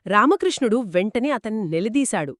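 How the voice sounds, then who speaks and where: Lively, expressive woman storyteller in a dry recording. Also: fairly high pitch, a little fast, dry recording. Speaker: storyteller host. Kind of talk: conversational moral storytelling in a two-host dialogue.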